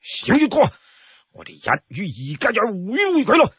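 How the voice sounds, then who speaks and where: Man acting explosive, blustering outlaw brawler, husky. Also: high-pitched, wildly swooping pitch, normal speed, dry recording, lo-fi sound, heavily acted. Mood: furious. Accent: Cantonese. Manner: explosive, threatening. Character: hot-tempered, blustering male outlaw brawler. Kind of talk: dramatized Cantonese storytelling.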